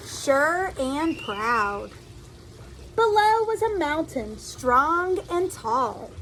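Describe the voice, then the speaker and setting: Female storyteller for children, lively swooping intonation, unhurried teacherly delivery, light noisy ambience. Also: high-pitched. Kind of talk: expressive read-aloud storytelling for children.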